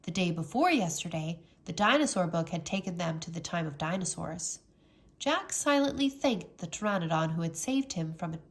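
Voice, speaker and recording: A woman reading a children's storybook aloud as a teacher, with expressive, dramatic narration. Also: lowish voice, gravelly.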